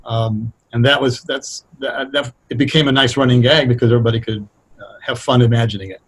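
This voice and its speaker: A man speaking casually and thoughtfully as a writer being interviewed, explaining things in a halting, anecdotal way. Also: medium pitch, fairly even intonation. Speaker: radio drama writer, interview guest.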